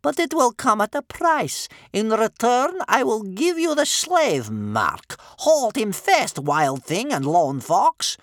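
Man voicing a bitter, high-pitched old grandmother. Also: very animated, no room sound, studio quality, full character voice. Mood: stern, commanding. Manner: spitting, slow, drawn-out delivery. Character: bitter, sceptical old grandmother, stern and sarcastic.

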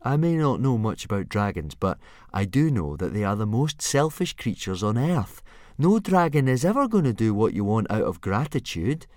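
A man's voice: fairly low pitch, sing-song, normal speed, a little mellow, no echo, clean studio sound, pitched above the performer's own voice. A man voicing a fretful, bookish Viking boy who insists things will fail.

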